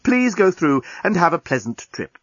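Audiobook robot receptionist, played by a man: high-pitched, croaking, officious, swooping from wounded dignity to outrage. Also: very animated, moderate pace, no echo, highs cut off. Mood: gushing welcome. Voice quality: strangled, croaking. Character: snooty robot desk clerk, officious, easily outraged.

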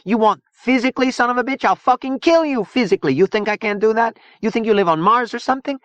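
A man's voice: on the high side, lively intonation, moderate pace, no echo, pitched above the performer's own voice, close to an everyday voice. A man voicing a fierce, hot-tempered young woman gang leader in an audiobook. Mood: furious. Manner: combative, profane, imperious. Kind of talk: audiobook character dialogue.

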